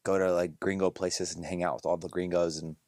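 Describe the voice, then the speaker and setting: Low-voiced man hosting a casual podcast. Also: a little fast, no room sound, studio quality. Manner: relaxed, rambling with frequent restarts. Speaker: podcast host. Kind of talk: casual conversation.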